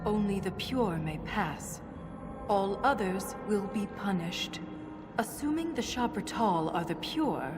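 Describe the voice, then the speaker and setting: Dwarf scholar, earnest, grieving, formal wording, video game drama, mid-range voice, heavy musical score underneath. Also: female voice, mid-range voice, expressive, takes it slowly. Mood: awed, pondering. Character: earnest dwarf woman scholar, driven, solemn, grieving a lost comrade. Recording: loud music behind. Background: loud game music.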